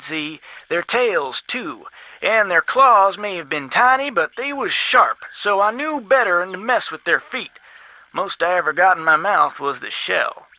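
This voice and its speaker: A man's voice: sits high, bright, forceful tone, no room sound, full character voice. An actor playing a folksy, long-winded man with a Southern US accent, rambling in a game. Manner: garrulous, drawling folksy storytelling. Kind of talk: game voice acting.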